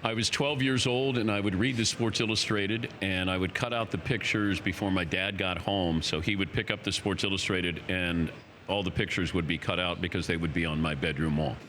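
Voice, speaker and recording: A man hosting a talk show, asking questions in a brisk, direct, joking way. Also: deep voice, fairly even intonation, fairly bright tone, a little hiss or hum. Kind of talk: interview questions, casual banter.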